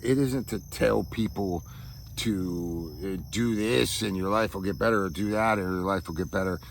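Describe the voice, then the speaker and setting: Man, deep low voice, reflective vlog monologue, halting delivery, searching for words, insects chirping faintly outdoors. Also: creaky, slight background noise. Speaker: vlogger. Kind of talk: reflective personal monologue to camera.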